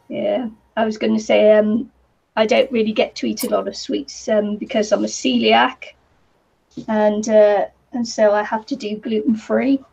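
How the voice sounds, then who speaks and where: A woman with a New Zealand accent chatting casually on a live craft stream, her voice croaky today, rambling with lots of ums and little laughs. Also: mid-range voice, level tone, unhurried, pauses often, a little mellow. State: croaky voice from the heat. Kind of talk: casual chat while crafting. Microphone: computer or camera mic with a fan nearby. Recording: slightly dull lo-fi sound.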